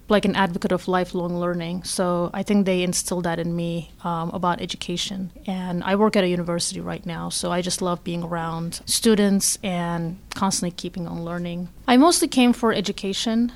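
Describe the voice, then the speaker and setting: Turkish-born woman, low gravelly voice. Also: a little mellow. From Turkey. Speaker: interview guest. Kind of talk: personal storytelling interview.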